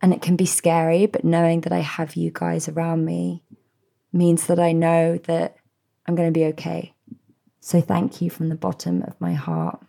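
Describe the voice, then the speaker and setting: Soothing low-voiced woman, gravelly edges. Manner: gentle, soothing, heartfelt. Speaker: podcast host. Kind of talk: reflective solo podcast address.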